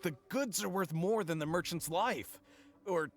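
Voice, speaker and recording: A shaken, nervous merchant in a video game. His voice is on the high side for a male, timid and cautioning, with faint music underneath. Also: measured pace. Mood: unsure, flustered. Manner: anxious, cautioning.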